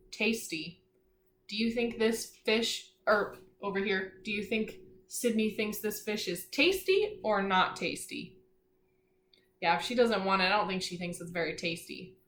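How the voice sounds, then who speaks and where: A woman teacher reading aloud to young children, pausing to explain simply, ask questions and encourage her listeners. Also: medium pitch, a bit bright. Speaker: elementary school teacher. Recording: echoey room, faint background noise.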